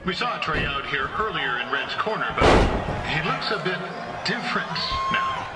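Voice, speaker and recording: An excitable wrestling announcer for a video game, calling a match with wildly swinging, high pitch. He sounds distant and echoey beneath a roaring crowd. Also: moderate pace, heavy background noise. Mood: excited. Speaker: sports commentator. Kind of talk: play-by-play wrestling commentary.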